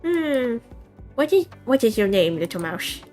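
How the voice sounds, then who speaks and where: A woman's voice: a little high, sing-song, warm tone, soft background music. A woman doing a haughty, dignified house cat. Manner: measured and haughty.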